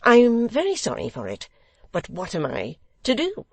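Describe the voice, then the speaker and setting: A woman's voice: a little high, huge pitch swings, normal speed, breathy edge, dry recording, pitched above the performer's own voice, heavily acted. Woman doing a fussy, testy Lord Mayor. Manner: fussy, dithering. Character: testy flustered pompous Lord Mayor, male.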